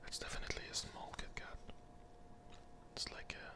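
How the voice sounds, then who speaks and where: A person whispering an ASMR candy review close to the microphone, hesitant and often repeating phrases. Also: moderate pace.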